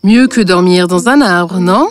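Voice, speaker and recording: A woman narrating a scripted fairy tale, with a deadpan streak. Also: lowish voice, a little slow, faint music behind.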